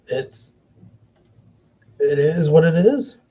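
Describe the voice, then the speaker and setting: A man hosting a wrestling podcast, giving blunt, profane opinions in casual commentary, with halting restarts. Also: fairly high pitch, lively intonation, dark tone, husky.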